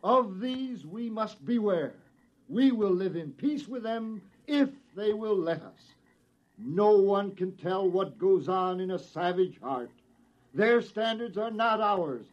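An actor playing a stern, suspicious military leader of settlers, pompous and oratorical, in 1950s radio drama style. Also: male voice, high-pitched, exaggerated voice. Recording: dull vintage radio recording.

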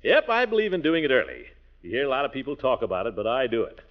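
A man's voice: mid-range voice, wildly swooping pitch, fast talker. A hearty, self-important middle-aged man of classic radio comedy. His theatrical delivery swings widely up and down, boastful and gossipy. The sound is the dull, narrow one of an old broadcast. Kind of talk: 1940s radio comedy acting.

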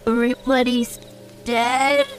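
A woman's voice: on the high side, level tone, slow pace, faint music behind. A woman playing a small, frightened boy in an audio drama, with a timid, childlike way of talking.

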